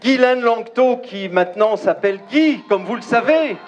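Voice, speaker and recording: Belgian man, high voice, emphatic declamatory rally speech, faint noise outdoors. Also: expressive, highs cut off. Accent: Belgian French. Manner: emphatic, declamatory, pausing often. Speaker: rally speaker. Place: outdoor crowd gathering.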